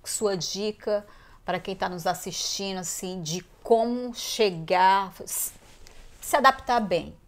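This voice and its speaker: A woman's voice: medium pitch, takes it slowly. A woman hosting an interview, opening with a scripted introduction and then asking questions and giving short affirmations. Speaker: host.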